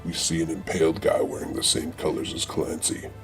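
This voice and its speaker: A man with a gravelly voice narrating a comedy script in a deadpan, slangy, mock-dramatic way. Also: fairly quick. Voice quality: very deep, gravelly. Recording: music behind the voice.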